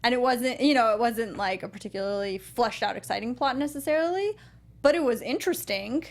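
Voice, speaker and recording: A woman's voice: on the high side, a little fast. A woman co-hosting a casual movie review show, chatty with lots of fillers and laughter. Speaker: podcast co-host.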